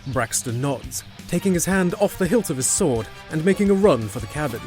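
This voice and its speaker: A man's voice: fairly high pitch, animated, fairly quick, darkish tone. A man narrating a scripted fantasy audio drama as a storyteller. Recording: music behind.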